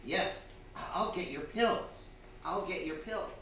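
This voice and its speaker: A man's voice: high-pitched, measured pace, fairly bright tone. A man playing a timid, weak-willed husband in a radio drama, stammering and hesitant with nerves. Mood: nervous, evasive. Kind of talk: stage radio drama acting. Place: theatre stage before a live audience. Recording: very echoey hall, distant, faint noise.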